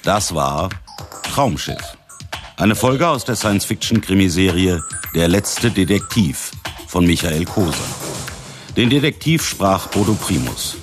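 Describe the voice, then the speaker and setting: A man with a low voice announces the credits of a radio production. His delivery is formal and scripted, with music playing behind him. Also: very animated, measured pace.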